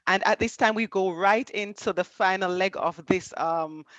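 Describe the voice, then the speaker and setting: A woman's voice: mid-range voice, normal speed, a bit bright, no echo, highs cut off. Upbeat woman moderator, dry sound. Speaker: webinar moderator. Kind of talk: introducing panelists.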